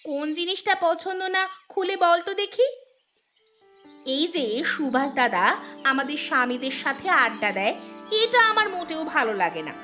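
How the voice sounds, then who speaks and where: A woman voicing village women in a cartoon folk tale, with exaggerated, expressive delivery. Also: high voice, normal speed, faint music behind, full character voice. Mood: disapproving, gossiping.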